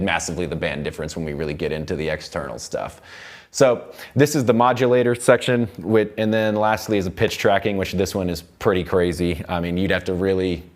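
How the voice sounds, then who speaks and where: A young man teaching music production in a studio, explaining software in an informal, rambling way. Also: low voice. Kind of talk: casual software tutorial. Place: indoor recording studio.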